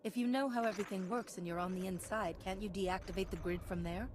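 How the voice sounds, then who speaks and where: A woman's voice: medium pitch, steady tone, fast, heavy background noise, close to an everyday voice. A woman playing a tough, confident Jedi heroine in a game, firm, assured and direct. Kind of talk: game cutscene acting.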